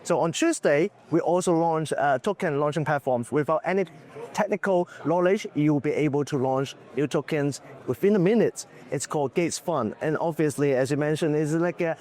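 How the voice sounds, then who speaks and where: A man, a business executive, answering questions in a conference booth interview, slightly hoarse from losing his voice, talking candidly with lots of fillers. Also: on the high side, normal speed, darkish tone. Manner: candid, loose, full of "uh" and "like".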